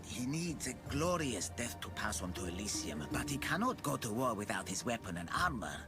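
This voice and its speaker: A man's voice: medium pitch, big pitch swings, normal speed, music in the background. An actor voicing a weary, sardonic ferryman of the dead in a video game, with a wry, theatrical delivery. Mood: storytelling.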